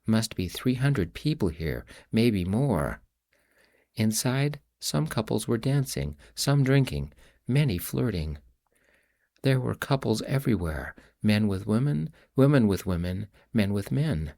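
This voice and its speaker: A man reading his own novel aloud in a measured, reflective first-person storytelling voice. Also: fairly low pitch, big pitch swings, gravelly, warm tone. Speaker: audiobook narrator and author. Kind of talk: first-person audiobook narration.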